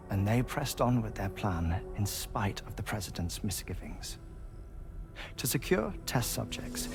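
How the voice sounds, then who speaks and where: An actor playing a measured, formal investigator who explains things deliberately and courteously in a video game cutscene. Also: male voice, fairly low pitch, vocal fry. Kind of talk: dramatic expository game cutscene dialogue.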